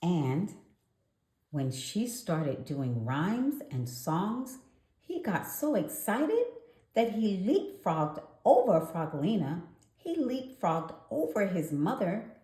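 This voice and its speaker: A woman narrates a children's storybook in a mellow, very animated voice. She takes her time with deliberate, dramatic pauses, and the sound is echoey like a bare room. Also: fairly low pitch. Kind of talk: animated scripted read-aloud narration.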